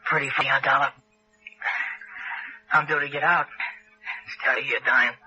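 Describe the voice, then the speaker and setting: An actor playing a dying American convict in a 1960s radio drama, weak and remorseful, speaking haltingly in rough, uneducated phrases. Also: male voice, mid-range voice, steady tone, pushed and bright. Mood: bitter, urgent. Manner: halting, rough uneducated speech, trailing and repeating. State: weak, failing, gasping. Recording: dull old radio recording, faint music.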